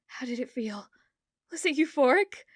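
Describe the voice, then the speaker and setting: A British woman playing an obsessive, manipulative, adoring girlfriend in an intimate ASMR roleplay, soft and breathy, often dropping into whispers. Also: high voice, animated, dry recording, studio quality, voice pushed up. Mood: excited, giddy. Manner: slow, coaxing, intimate.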